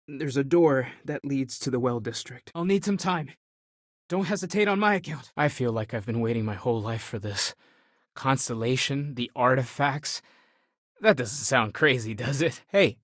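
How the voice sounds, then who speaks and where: A man's voice: medium pitch, dry recording, natural voice. Earnest, thoughtful male space explorer played by an actor, dry studio sound. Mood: resolute, then eager, excited, then wry. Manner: sincere, thoughtful, conversational. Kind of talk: video game voice acting.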